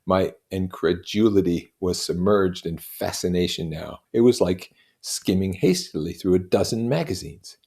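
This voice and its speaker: A man reading literary fiction aloud as an expressive narrator, with deliberate, dramatic phrasing. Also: deep voice.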